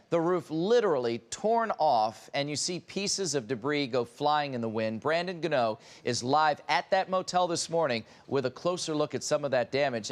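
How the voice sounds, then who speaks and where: A man anchoring TV news, reading scripted coverage in broadcast style. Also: medium pitch. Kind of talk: scripted breaking news narration.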